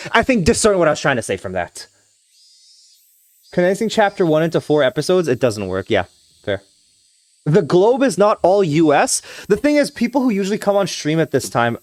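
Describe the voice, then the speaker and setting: Young guy from trinidad chatting on stream, lots of restarts, bouncy pitch, dry close mic. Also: no echo. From Trinidad and Tobago. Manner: rambling, frequent restarts and hedging fillers. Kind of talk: casual live-stream chat with viewers, reading a visual novel aloud.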